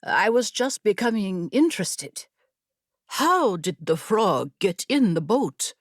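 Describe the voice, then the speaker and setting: A woman's voice: medium pitch, big pitch swings, takes it slowly, no room sound. A woman voicing a tough, protective woman guide in a fantasy audiobook, terse and commanding with dry wit. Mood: curious, teasing.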